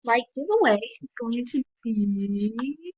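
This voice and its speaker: A woman with a slightly high voice hosts a casual gaming video, tired and a little dry-throated. She talks slowly and hesitantly, filling pauses with ums and apologies. The sound is dry and dull, from a lo-fi mic. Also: expressive, husky, no room sound. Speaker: gaming channel host. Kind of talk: casual game commentary.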